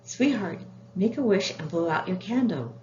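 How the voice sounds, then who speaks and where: A woman voicing a gentle mother who directs children kindly in a storybook reading. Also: medium pitch, expressive, moderate pace, natural voice. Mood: affectionate, calling. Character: Kukana's mother, gentle, organizing parent. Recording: echoey room, dull sound, faint noise.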